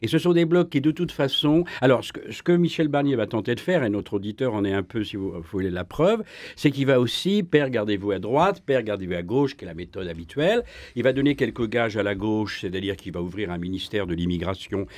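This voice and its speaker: A man speaking as a historian guest in a radio debate, emphatic and full of restarts, his pitch rising when he presses a point. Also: animated, a little fast, a little mellow, no echo. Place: radio studio.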